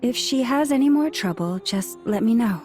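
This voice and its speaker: A woman voicing a gentle, calm healer in a game, speaking in a soothing, measured way. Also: fairly high pitch, faint music behind, lightly acted.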